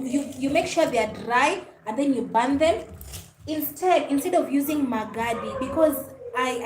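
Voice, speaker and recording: High-pitched Kenyan woman chatting in an echoey room. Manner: friendly, mixes English and Swahili, often thanks and greets viewers. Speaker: livestream host. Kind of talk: casual livestream chat. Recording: echoey room with faint background noise.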